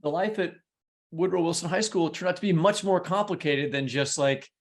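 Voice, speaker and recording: A man's voice: fairly high pitch, normal speed. A man hosting a podcast interview, talking conversationally and working his way through long, discursive questions. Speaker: podcast host.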